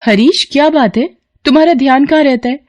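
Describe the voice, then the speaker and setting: Woman playing a brisk, scolding lady professor. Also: darkish tone, lightly acted. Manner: raised pitch, brisk scolding teacher tone. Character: young woman economics professor, firm but kind.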